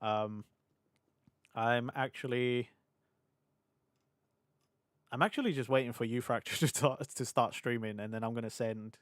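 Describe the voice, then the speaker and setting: A young British man streaming live, rambling and laughing as he chats with his audience, his voice a little hoarse from hours of talking. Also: mid-range voice, dry recording, clean studio sound. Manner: chatty, scattered, quick to laugh. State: slightly hoarse. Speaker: VTuber streamer. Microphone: desk mic on a boom arm.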